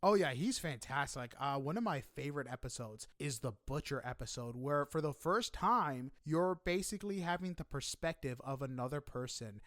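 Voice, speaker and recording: A man hosting a podcast, chatting casually with a guest in an animated, emphatic and informal way. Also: medium pitch, moderate pace, few pauses, no echo, studio quality. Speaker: podcast host interviewing guests. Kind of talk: casual interview chat.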